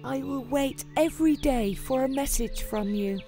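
A woman's voice: high voice, moderate pace, warm tone, faint music behind. An actress playing a lovesick young noble girl in a dramatized storybook, with an emotional delivery. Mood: tender, urgent.

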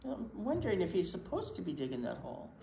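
A woman in her sixties reading a picture book aloud to children, with dramatic pauses and emphasis. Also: on the low side, huge pitch swings, vocal fry, mellow. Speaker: children's storytime reader. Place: indoor children's library room. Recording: roomy space with faint background noise.

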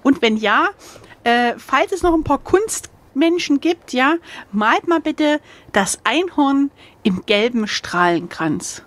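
A woman's voice: high-pitched, lively intonation, slow. A woman playing through a gamebook, chatting casually in a joking way with frequent exclamations. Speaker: player in a gamebook playthrough. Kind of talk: casual playful chat.

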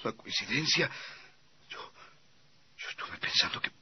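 A man's voice: a little slow, close to an everyday voice. An actor playing a nervy, subservient gangster henchman in a radio drama. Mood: terrified, feverish. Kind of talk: Spanish-language radio drama acting. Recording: muffled, noisy old radio drama recording.

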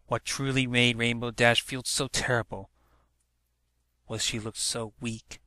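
A man's voice: mid-range voice, little pitch movement, unhurried, sharp tone, no room sound. A man reading a story aloud as narrator, in a dramatic storytelling manner. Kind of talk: scripted story narration, fan fiction read-aloud.

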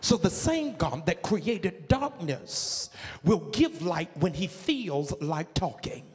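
A middle-aged man preaching a sermon to a congregation on a handheld mic in a large church, moving from measured teaching into sung, shouted preaching. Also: fairly high pitch, very animated. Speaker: pastor. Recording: long reverb of a church hall.

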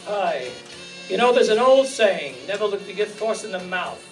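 An older man plays a wisecracking, world-weary radio gumshoe with a high-pitched voice. His delivery is slangy and wry, in 1950s noir style. He is heard off a distant room mic, with music in the recording. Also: expressive, normal speed. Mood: wry narrating. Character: wisecracking hard-boiled male private eye, world-weary. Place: staged reading in a community hall. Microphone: stand microphone, heard from a far camera.

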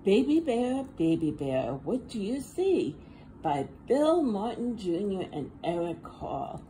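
A woman reading a picture book aloud to young children in an expressive, sing-song manner, recorded indoors at a table. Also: medium pitch, slow pace, low-quality recording. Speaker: children's storybook reader. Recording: faint background room noise.

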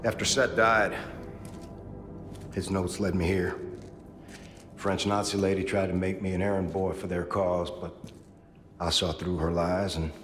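An actor playing a guilt-ridden middle-aged soldier father in a video game, speaking haltingly and gravely. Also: low voice, flat delivery, faint music behind. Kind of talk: dramatic video game cutscene acting. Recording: cavernous reverb, loud score underneath.

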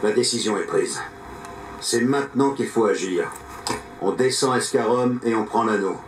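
Man as a supersoldier leader, video game, firm, measured, lowish voice, faint background noise. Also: natural voice. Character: resolute male supersoldier squad leader. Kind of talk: video game character acting.

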